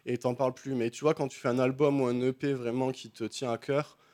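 A man of middle pitch talks in a loose, slangy way, full of fillers and restarts. He is a beatmaker guest chatting casually on a late-night radio show. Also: a little fast. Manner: relaxed slangy talk with many fillers.

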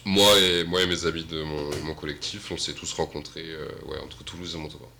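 A man who works as a DJ and producer, talking modestly and haltingly as a radio guest. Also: a bass voice, steady tone, sharp tone, a little hiss or hum. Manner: modest, halting, filler-heavy. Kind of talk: casual interview talk.

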